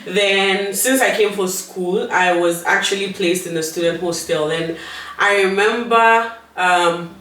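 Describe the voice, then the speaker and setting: A young woman with a Ghanaian accent, a guest telling stories in a casual chat. She tells them in an animated way, acting out the people she talks about. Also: lowish voice. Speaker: vlog guest. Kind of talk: casual storytelling. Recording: echoey room, far from mic, faint noise.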